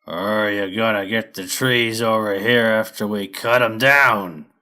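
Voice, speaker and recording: A man voicing a gruff, hairy lumberjack pony boss in a storybook, growling his words slowly, loudly and bluntly. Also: fairly low pitch, fairly even intonation. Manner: slow, loud, blunt delivery.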